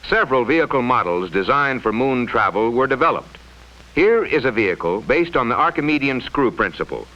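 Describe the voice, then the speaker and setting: A man reading scripted mid-century educational film narration in a formal, measured, explanatory way, on a vintage soundtrack. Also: mid-range voice, bright, forceful tone, dull audio.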